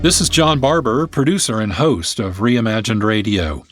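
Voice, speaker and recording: A man's voice: fairly low pitch, moderate pace, music in the background. A man reading a scripted radio show introduction as its producer and host. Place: studio, music behind.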